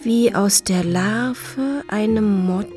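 An actress playing a wounded, resentful, defiant woman in a radio drama, intense and often hushed. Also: mid-range voice, drawn-out words, soft background music. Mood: bitter, anguished.

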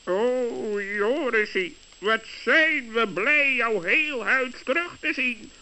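A man voicing an excitable, indignant raven in a children's radio play, with a sharp, emphatic voice. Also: very high voice, slow pace, heavily acted. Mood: indignant, eager. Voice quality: sharp, piercing. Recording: dull old radio recording.

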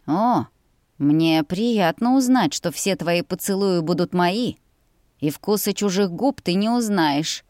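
A woman's voice: fairly low pitch, expressive, takes it slowly, darkish tone, no echo, clean studio sound. A woman voicing a stubborn male dragon general, curt, smug and possessive, in a fantasy audiobook. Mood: amused, possessive.

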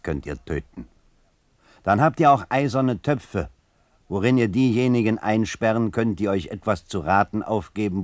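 An actor voicing a sardonic male poet who addresses the powerful in an ironic, measured literary recitation. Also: on the low side, no echo, clean studio sound.

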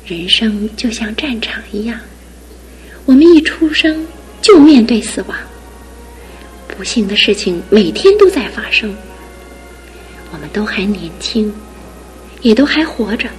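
An actress in a radio drama playing a devoted young fiancée who speaks earnestly and pleadingly, with steady resolve. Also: female voice, a little high, measured pace, long pauses, soft background music, low-quality recording. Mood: resolute. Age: young adult. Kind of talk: broadcast radio drama acting.

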